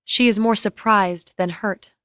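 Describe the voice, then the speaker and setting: A woman's voice: medium pitch, steady tone, unhurried, bright, forceful tone, dry recording. Woman reading scene directions, dry sound. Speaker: reader of stage directions. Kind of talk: scripted present-tense scene narration.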